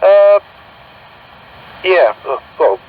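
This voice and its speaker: A man's voice: high voice, very animated, very slow. A British man running a radio exchange over CB, speaking politely and a little hesitantly. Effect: CB radio transmission. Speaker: CB radio net controller. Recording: muffled, noisy CB radio speaker.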